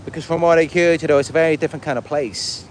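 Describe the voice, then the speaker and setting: Male livestreamer, Welsh accent, voice a bit on the high side, loose rambling delivery, light street noise behind. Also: steady tone, quick pace. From Wales. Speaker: livestream host and content creator. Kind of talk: casual livestream monologue, answering viewer comments.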